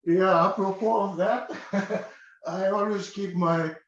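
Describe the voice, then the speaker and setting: An older man from Egypt talks in a slightly higher voice, hesitating with many fillers and restarts. The recording sounds roomy and a little dull. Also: fairly even intonation, takes it slowly. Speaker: interview guest. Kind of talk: casual interview. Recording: echoey dull-sounding room.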